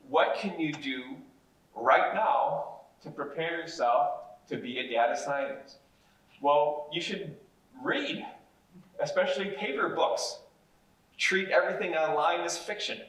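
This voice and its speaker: A man, a university professor, explaining things to young people in a measured, encouraging way. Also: a little high, a bit bright. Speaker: university professor giving a public talk. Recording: echoey room, distant mic.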